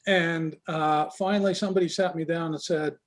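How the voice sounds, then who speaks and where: Reflective older man with a higher voice. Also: fairly even intonation. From Israel, raised in Canada. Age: 60s. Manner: reflective, halting with many fillers. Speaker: guest, business coach. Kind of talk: personal life story in conversation.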